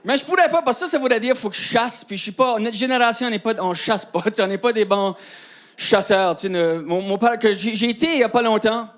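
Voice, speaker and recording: High-voiced Acadian man doing stand-up. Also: fast. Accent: Acadian French. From New Brunswick, Canada. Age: 30s. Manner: chatty, self-mocking, often laughs at his own lines. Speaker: stand-up comedian. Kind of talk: stand-up routine. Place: comedy stage before a live audience. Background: faint audience laughter.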